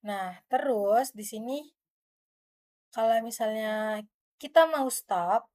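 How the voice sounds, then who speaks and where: A young woman with an Indonesian accent gives an informal tutorial, explaining step by step in a halting way. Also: a little high, a little mellow. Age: young adult. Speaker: student presenter.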